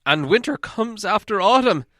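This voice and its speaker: A man acts out a grumbling, impatient storybook dwarf. He complains loudly with sardonic bite, in a raised, lively voice recorded dry. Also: high voice, moderate pace, no room sound, voice pushed up. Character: grumbling, impatient male dwarf with a long beard.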